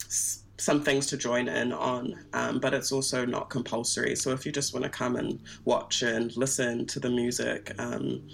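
A woman's voice: rumbling low, creaky. A woman whose native tongue is Twi, answering casually as an interview guest, reflective and searching for words. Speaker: interview guest, performance artist.

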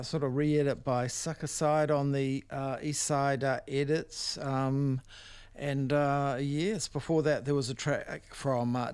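An older man with a New Zealand accent, casually hosting a music show in a halting, rambling, unscripted way. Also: mid-range voice, clean tone, dry recording. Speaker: radio music show host. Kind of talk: casual unscripted radio back-announcing. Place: dry radio studio.

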